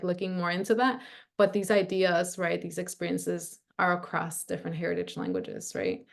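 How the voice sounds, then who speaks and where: Woman, low pitch, gravelly, chatty presenter, video call. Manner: casual, frequent "like" and "right?" fillers. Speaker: workshop presenter. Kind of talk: teaching a video-call workshop.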